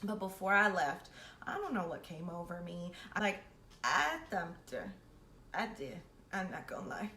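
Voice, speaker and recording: Brash young woman storyteller, bright echoey voice. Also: on the low side, big pitch swings, moderate pace. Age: young adult. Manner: brash, slangy and heavily profane; acts out both sides of quoted exchanges. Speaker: host of a storytime series. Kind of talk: animated personal storytelling, casual. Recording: roomy echo with faint background noise.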